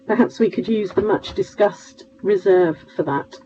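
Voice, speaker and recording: A woman's voice: mid-range voice, moderate pace, mellow. A woman councillor putting a formal question at a meeting. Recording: dull, lo-fi meeting audio.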